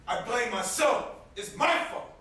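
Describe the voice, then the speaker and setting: Far off echoey stage recording, guy acting bitter guilt-ridden young man, high voice, vehement drama. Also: lively intonation, a little slow, pushed and bright, slight background noise, heavily acted. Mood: anguished guilt. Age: young adult. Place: indoor stage, recorded from a distance.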